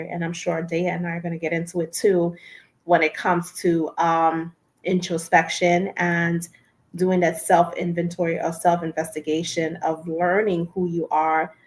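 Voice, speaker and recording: A woman with a somewhat low, nasal voice that sounds congested. She hosts a casual livestream and speaks in an earnest, encouraging way, circling back to repeat her points. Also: darkish tone. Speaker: livestream host.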